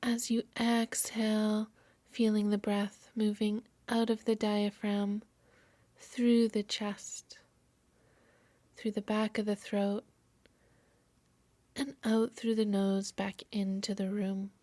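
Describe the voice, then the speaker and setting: Slow, soft-spoken woman guiding meditation. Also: mid-range voice, level tone, dry recording. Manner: soft, soothing, measured delivery. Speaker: yoga nidra instructor. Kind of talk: guided meditation narration.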